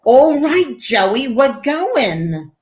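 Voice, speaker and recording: Woman playing a brash, high-pitched city mom. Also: big pitch swings, drawn-out words, full character voice. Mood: impressed. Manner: blunt, slangy. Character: brash, plain-spoken, exasperated working-class city mother. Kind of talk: 1940s radio play acting.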